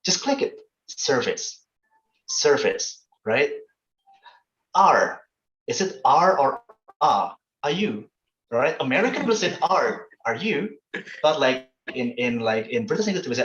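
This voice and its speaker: A man's voice: mid-range voice, a bit bright, a little hiss or hum. The sound is roomy and slightly dull, like an online call. A man with an Indonesian accent explains things informally as a presenter, in a conversational way that often seeks agreement. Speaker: webinar presenter. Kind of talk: casual explanatory online talk with examples.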